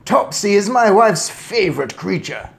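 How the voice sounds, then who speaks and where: An irate English father from a children's story, blusters at high volume. His voice rises in pitch as he splutters pompously. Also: very animated, normal speed, creaky. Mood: agitated.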